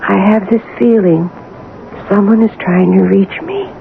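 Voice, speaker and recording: A woman's voice: medium pitch, expressive, measured pace, gravelly. An actress playing an uneasy, dreamy woman drawn to spirits, hesitant and intense, in a 1970s radio drama. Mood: uneasy, haunted. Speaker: actress playing a supporting lead. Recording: tinny, hissy old radio broadcast.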